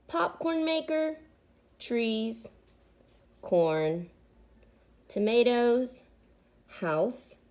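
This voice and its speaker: A woman reading a children's book aloud like a teacher, deliberate and pausing so listeners can join in. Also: a little high. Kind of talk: interactive children's read-aloud.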